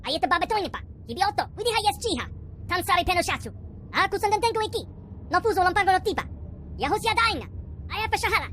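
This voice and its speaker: A woman's voice: very high voice, animated, fast talker, a bit bright, slight background noise. A woman voicing a small alien creature that chatters away in gibberish for a video game. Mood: jabbering. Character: small, jabbering, high-voiced alien creature.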